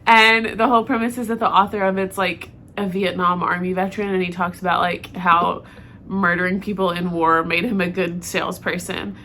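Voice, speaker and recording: A woman's voice: fairly low pitch, level tone, moderate pace, bright, forceful tone, slight background noise. A woman with a cold and a stuffy voice, co-hosting a podcast in casual chat, laughing often and saying "like" a lot. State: congested from a cold. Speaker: podcast co-host. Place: dim office lobby, on a couch. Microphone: camera and lights set up.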